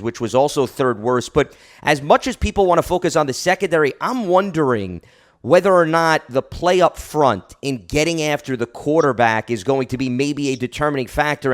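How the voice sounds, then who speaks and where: An American man hosting a sports interview, talking in a wordy, analytical, conversational way as he builds toward questions. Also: medium pitch. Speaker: sports show host.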